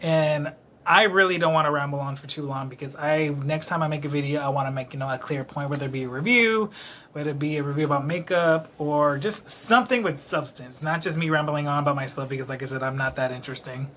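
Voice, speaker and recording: A man's voice: a little high, fast, fairly bright tone, a little hiss or hum. A man in his late twenties from Texas, rambling to camera in his apartment, self-deprecating and a little tipsy, with lots of fillers. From Dallas, Texas. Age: late 20s. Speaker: vlogger. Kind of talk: rambling casual monologue to camera. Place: his own apartment, indoors. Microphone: camera close-up.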